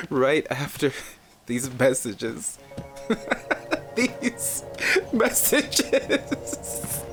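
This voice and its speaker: A man playing a giddy, unhinged son in an audio drama, giggly and dreamy in his slow delivery, with music behind him. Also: medium pitch, big pitch swings, exaggerated voice. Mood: giddy, manic.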